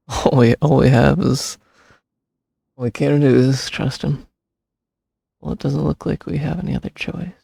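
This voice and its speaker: A male game streamer with an extremely gravelly, rough voice. He comments casually and mutters to himself, recorded dry with faint music behind him. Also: medium pitch, fairly even intonation, unhurried, pauses often, dark tone, dry recording.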